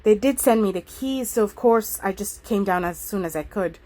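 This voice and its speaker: A woman voicing a polite young woman of twenty-seven who speaks quickly and apologetically. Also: medium pitch, darkish tone, close to an everyday voice. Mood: placating.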